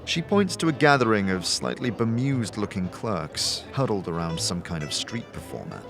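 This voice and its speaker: Expressive low-voiced man narrating fantasy. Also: moderate pace, over music. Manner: dramatic, descriptive. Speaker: narrator and game master. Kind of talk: scripted fantasy audio drama narration.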